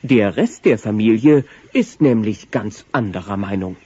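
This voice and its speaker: A man's voice: lowish voice, lively intonation, a little slow, background noise. A man narrating a children's audio play as a wry storyteller in a scripted style. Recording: muffled old cassette. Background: music.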